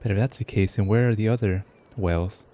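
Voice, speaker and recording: A man's voice: lowish voice, brisk, mellow. A man giving casual playthrough commentary on a game, reacting in an emotionally invested, murmured way. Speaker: gamer reacting to a visual novel.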